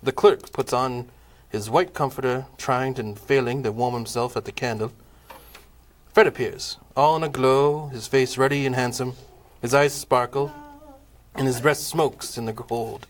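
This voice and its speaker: A man's voice: medium pitch, a little slow. A man narrating a comic table read of a Christmas play, wry in tone. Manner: wry, laughs at the cast.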